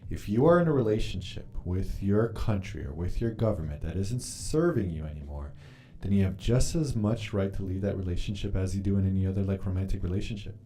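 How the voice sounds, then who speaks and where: A man's voice: low voice, warm tone. A man whose first language is Polish, chatting casually on a live stream while journaling, rambling and swearing freely as he reads comments. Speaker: livestreamer reading chat.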